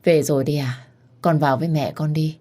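A woman's voice: low-pitched, fairly even intonation, a little fast, mellow. Woman reading as a restrained, sorrowful old father. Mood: subdued.

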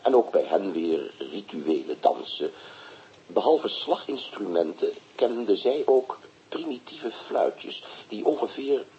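A deep, dark-voiced male explorer gives an earnest, formal account in a radio drama. It sounds like a dull, muffled playback. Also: very animated, slow pace. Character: earnest male expedition member giving a recorded account. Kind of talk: lecture-like narration in a radio drama. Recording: dull, muffled, noisy played-back recording.

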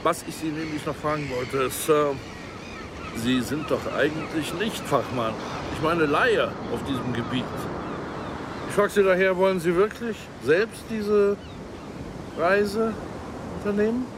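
A man's voice: a little high, unhurried, background noise, close to an everyday voice. An actor in a radio play playing a polite but insistent, skeptical man asking questions. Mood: doubtful, insistent. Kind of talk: 1990s German radio play acting.

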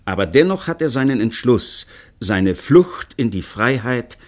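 A man narrating a historical radio play in a measured storytelling manner. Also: medium pitch, big pitch swings. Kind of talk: scripted narration.

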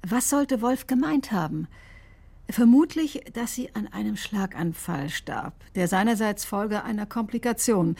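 An actress playing an articulate, quietly insistent woman doctor in a radio drama, precise and questioning. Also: medium pitch, vocal fry, husky, heavily acted. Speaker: doctor accused in a criminal case. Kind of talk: German radio drama acting.

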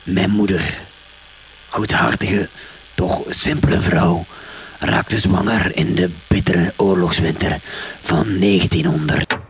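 A man's voice: deep voice, steady tone, a little hiss or hum. An actor playing a mournful old man who reads his own will on a cassette in a radio comedy drama. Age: older. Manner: slow, mournful, self-pitying.

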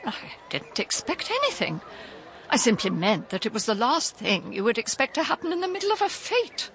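Genteel older lady, swooping pitch. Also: wildly swooping pitch, moderate pace, fairly bright tone, full character voice. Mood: guarded, upset. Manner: genteel, emphatic, guarded. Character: genteel, defensive elderly English country lady. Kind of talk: radio drama acting.

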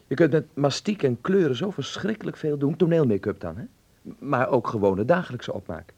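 An actor playing an eager, bossy young son who dreams of films, in a Dutch radio play, with a husky, creaky voice and an instructing, fervent manner. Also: male voice, mid-range voice, lively intonation, brisk, a little mellow. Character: eager, bossy young film-mad son, stage-struck.

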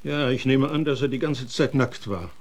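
Husky, blunt, grim man played by an actor. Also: medium pitch, normal speed, close to an everyday voice. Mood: grim, sardonic. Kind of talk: German radio drama acting.

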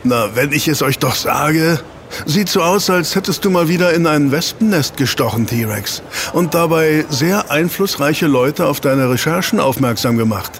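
An actor in a German audio drama playing a suave, jovial newspaper reporter with a chummy, teasing manner. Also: male voice, a little high, lively intonation, normal speed. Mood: chummy, curious. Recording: clear ambient noise behind.

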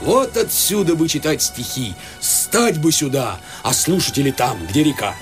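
An actor playing an easygoing, expansive country landowner in a radio play, with folksy, exclamatory speech. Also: male voice, a little high, expressive, normal speed, soft background music, close to an everyday voice. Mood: enraptured. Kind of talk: Russian radio play acting.

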